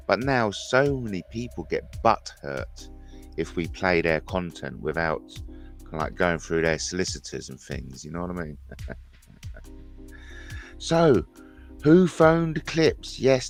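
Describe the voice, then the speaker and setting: Slow, gravelly man in his fifties. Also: deep voice, lively intonation, faint music behind. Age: 50s. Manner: rambling, jokey, repeats himself, says he has a speech impediment. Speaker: livestream host. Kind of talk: casual livestream chat.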